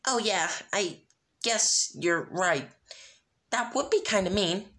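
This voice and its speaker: A hyperactive cartoon pony girl as a fan reader puts on. The voice sits far up high and gushes excitably, drawing words out. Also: slow pace, full character voice. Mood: downcast. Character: hyperactive, bubbly pony girl, sometimes downcast.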